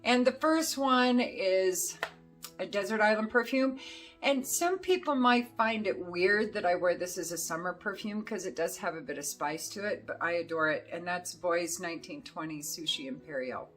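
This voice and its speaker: A middle-aged woman chats loosely to the camera about perfume, her voice hoarse and sitting a little low. She rambles along with plenty of ums and restarts. Faint music plays softly behind her. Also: expressive, normal speed. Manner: rambling, chatty, with many fillers. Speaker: fragrance reviewer. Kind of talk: casual chat to camera.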